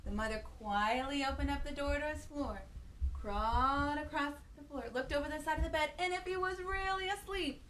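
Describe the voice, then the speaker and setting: High-voiced woman hosting an echoey children's storytime. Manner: expressive, gasping, asking the children questions. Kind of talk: animated picture-book read-aloud for young children. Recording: echoey room with faint background noise.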